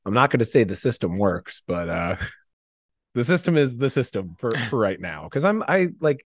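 A man talks casually as a podcast guest, his pitch swinging freely. He rambles in a self-deprecating, animated way and leans on "like" a lot. The sound is dry and close. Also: normal speed, no room sound. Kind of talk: casual chat, anecdotes.

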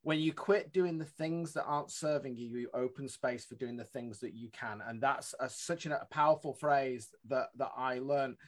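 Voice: mid-range voice, moderate pace, few pauses. An interview host who jumps in eagerly to add asides and quotes, apologizing for interrupting. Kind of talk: conversational interview.

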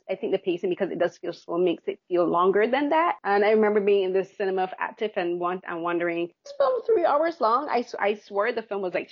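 A woman's voice: lowish voice, no room sound, lo-fi sound. A woman from Barbados, a film critic talking on a podcast in a chatty, self-deprecating way with many fillers.

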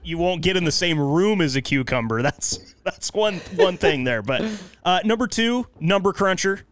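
A man's voice: high voice, expressive, normal speed, fairly bright tone, slight background noise. A man in a casual, playful chat as a podcast co-host. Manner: playful, joking.

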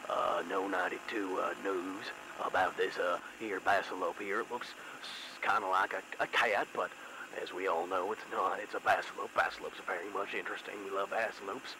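A bumbling field reporter who rambles and stumbles through his report, as a man plays him. The voice is very deep, and clear background noise runs under it. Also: steady tone, normal speed. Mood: rambling. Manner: rambling, hesitant. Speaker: mock news reporter.

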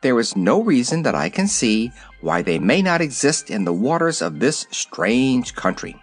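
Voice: mid-range voice, very animated, moderate pace, faint music behind. A narrator voicing a little male humbug wizard in an audiobook, candid and explanatory. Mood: matter-of-fact. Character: little old humbug wizard, kindly showman. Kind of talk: audiobook character voice.

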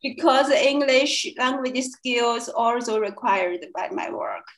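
Woman, young adult, Chinese accent, interview guest; slow halting delivery, fillers and restarts, highish voice. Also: poor audio. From China. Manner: hesitant, many fillers and restarts, laughs often. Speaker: guest, language student. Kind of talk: casual interview chat.

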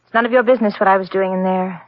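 Actress as haughty, fast-talking troubled society wife. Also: female voice, medium pitch, fairly even intonation, no echo, lightly acted. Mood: defensive, desperate. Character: haughty, troubled married society woman, defensive and secretive. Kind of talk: 1940s radio drama acting. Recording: muffled, noisy old radio recording.

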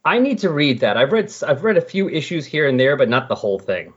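Quick-talking man, casual podcast host. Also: on the high side, big pitch swings, breathy edge, dull audio. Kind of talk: casual chat about comic books.

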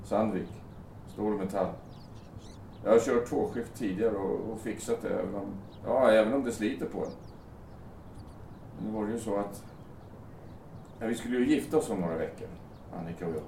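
A man's voice: on the low side, creaky, warm tone. Roomy, distant actor as a bitter grieving man. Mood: weary, self-blaming. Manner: agitated, sarcastic, swearing, halting. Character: grieving, bitter young bridegroom blaming himself for fatal crash. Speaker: actor in an audio drama. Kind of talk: naturalistic radio drama dialogue. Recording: roomy, distant mic, faint background noise.

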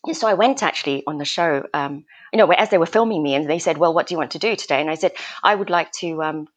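A woman's voice: sits low, fast. A woman from Malaysia who grew up in Australia, a guest gardener chatting in a podcast interview, hesitant with many restarts and laughs.

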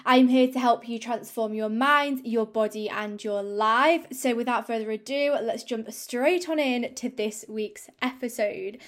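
A young woman hosting her own fitness podcast, chatty and upbeat with many fillers, a little croaky from a cold. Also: on the high side, a little slow, hardly any pauses. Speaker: podcast host and fitness coach. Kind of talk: casual solo podcast talk.

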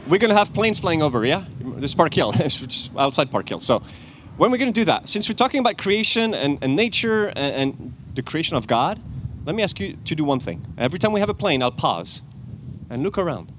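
French-accented male preacher, high and animated, laughs easily, casual outdoor sermon. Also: a little hiss or hum. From France. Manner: animated, chatty, laughs often, quick with self-corrections. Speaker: guest preacher, church elder and chemist. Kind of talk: lively outdoor sermon with audience questions. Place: outdoor church service with planes overhead.

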